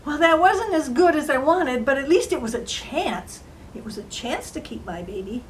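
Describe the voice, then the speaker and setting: A woman playing a young fairy-tale queen and mother, telling her own story in an earnest, dramatic, emotional way with drawn-out emphasis. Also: high voice, lively intonation. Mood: earnest, uneasy. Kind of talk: dramatized first-person storytelling in character. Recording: faint background noise.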